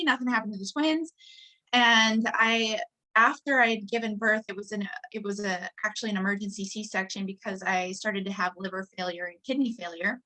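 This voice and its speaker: A woman's voice: mid-range voice, pushed and bright, low-quality recording. A woman in her late twenties from Wisconsin, a guest sharing personal stories earnestly, often laughing and restarting sentences. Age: 20s. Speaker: guest entrepreneur. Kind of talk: personal storytelling in interview.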